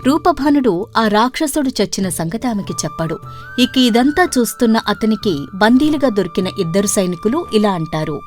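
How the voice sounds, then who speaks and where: A woman's voice: fairly high pitch, sing-song, normal speed, a little mellow, soft background music. A woman narrating a scripted children's story as the storyteller.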